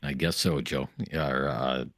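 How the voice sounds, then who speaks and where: A man hosting a call-in radio talk show, taking calls and chatting with callers in a brisk, conversational way. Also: rumbling low, steady tone, fairly bright tone, no echo. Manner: brisk, conversational host banter.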